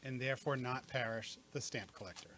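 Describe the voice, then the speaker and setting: A man playing a businesslike, plain-spoken police inspector in a radio mystery play, brisk and questioning. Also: mid-range voice, pushed and bright, a little hiss or hum, close to an everyday voice.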